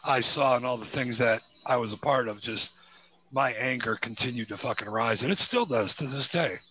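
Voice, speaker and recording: This is a man in his forties in a casual chat. His voice is bright and forceful at an ordinary male pitch. He talks emphatically and peppers his speech with profanity. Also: normal speed, slightly rough, lo-fi sound. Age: 40s.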